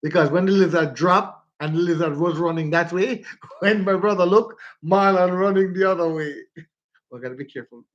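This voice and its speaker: A man's voice: high voice, normal speed. A man with a stuffy nose, chatting casually on a livestream and telling stories with frequent laughter. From Jamaica. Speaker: vlogger. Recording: dull lo-fi phone audio.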